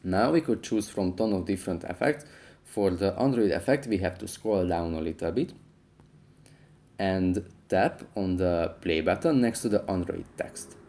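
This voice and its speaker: A man's voice: low-pitched, moderate pace, creaky, mellow. A man narrating a scripted how-to tutorial as its host, giving step-by-step instructions.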